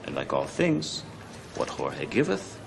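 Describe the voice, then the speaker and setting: A smug boss, very deep gravelly voice, noise behind. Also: male voice, huge pitch swings, measured pace, full character voice. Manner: menacing, self-important. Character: smug domineering man, boastful.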